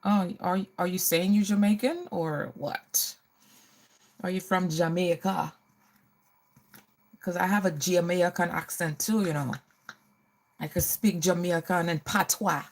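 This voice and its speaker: A woman's voice: lowish voice, unhurried, pauses often, a little mellow, poor audio. A middle-aged woman hosting a casual get-ready livestream, chatting to viewers and often repeating her phrases.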